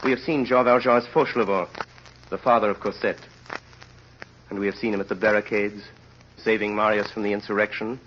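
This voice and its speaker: Male actor as solemn impassioned storyteller, lowish voice, deliberate delivery, vintage radio drama, dull band-limited sound. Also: fairly bright tone, a little hiss or hum, natural voice. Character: grave, impassioned storyteller of a tragic tale. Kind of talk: 1930s radio drama narration. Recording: muffled old radio recording.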